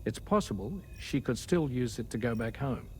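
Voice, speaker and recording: A man's voice: mid-range voice, lively intonation, normal speed, darkish tone, slight background noise, lightly acted. A man playing a serious, considerate leader briefing his crew in a video game cutscene. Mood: grave, measured.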